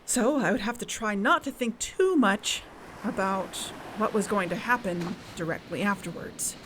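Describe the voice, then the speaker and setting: A woman acting a guarded, thoughtful young investigator in a naturalistic audio drama, speaking in a measured, hesitant, introspective way. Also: mid-range voice, background noise, close to an everyday voice. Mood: reflective, weary. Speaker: podcast narrator and lead character. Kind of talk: naturalistic audio drama, reflective narration and dialogue.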